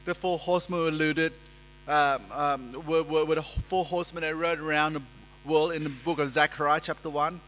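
A man in his forties preaching a sermon at a lectern in a church, speaking in a measured, emphatic way and gesturing as he talks. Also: fairly high pitch, steady tone, a bit bright. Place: church sanctuary, indoors. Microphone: lectern microphone. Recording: reverberant church hall with mains hum.

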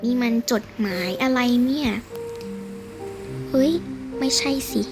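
A young female performer voicing a vain, sassy, grumbling schoolgirl with drawn-out exclamations in a game role-play drama. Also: high voice, over music. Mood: indignant, nosy. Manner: exclamatory, drawn-out whining interjections.

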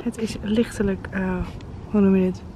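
A young woman from the Netherlands chatting casually and playfully for a travel vlog, excitable and blunt. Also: mid-range voice, normal speed. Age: young adult. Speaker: vlogger. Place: moving car and outdoor streets and viewpoints. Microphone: handheld camera at arm's length. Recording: music over handheld vlog audio. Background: music, car and street noise.

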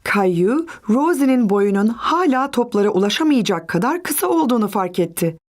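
A woman narrating a scripted children's story in a gentle, measured way. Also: a little high, big pitch swings, no room sound, studio quality.

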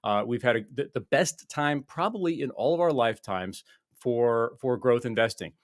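Man, 40s, guest on video call, dry small office sound, halting explanatory delivery. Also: medium pitch, no echo. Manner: explanatory, many restarts and fillers. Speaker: guest investor. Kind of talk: conversational interview. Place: small office with wall panels. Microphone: desk microphone on video call.

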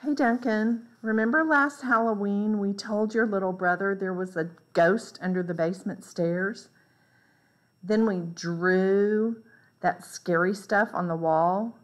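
Female storyteller for picture-book read-aloud, mid-pitched, unhurried and expressive delivery.

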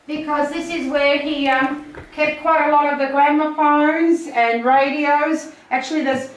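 A woman's voice: sits high, steady tone, unhurried. An older Australian woman, an auctioneer, chatting casually as she points out items while walking through a house. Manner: chatty, pointing things out, laughs easily. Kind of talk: casual walkthrough chat. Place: large house, indoors. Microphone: handheld phone camera. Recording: echoey rooms.

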